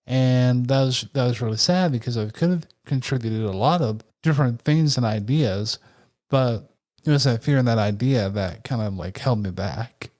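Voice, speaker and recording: A soft-spoken man with a gentle tone, co-hosting a podcast and talking candidly and reflectively. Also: lowish voice. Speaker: podcast co-host. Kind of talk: casual podcast chat.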